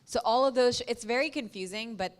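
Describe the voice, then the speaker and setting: A woman's voice: on the high side, a little fast. An American woman speaking as a panelist, explaining things in an orderly, conversational way.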